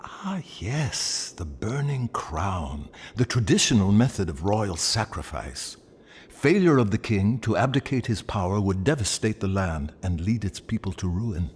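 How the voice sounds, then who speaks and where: A man's voice: lowish voice, very animated, a little slow, long reverb tail, slight background noise. An actor playing an erudite, self-assured male guide in a video game, articulate and dryly pompous. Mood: pompous, musing. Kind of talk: video game cutscene acting.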